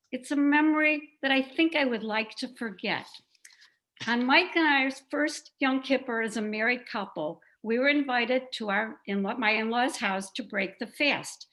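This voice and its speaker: A woman with a fairly high voice tells a personal story from her family life. Her delivery is conversational and confiding, like a prepared piece read to a group. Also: a bit bright. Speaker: participant sharing a family memory.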